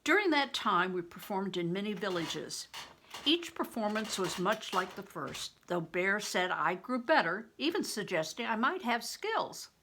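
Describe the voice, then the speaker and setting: An older woman narrates a storybook with a bright, clear-cut edge to her voice. She takes her time, and her pitch moves up and down in a lively, expressive way. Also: a little slow, a little hiss or hum. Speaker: grandmother reading a novel aloud. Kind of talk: storybook narration with explanatory asides.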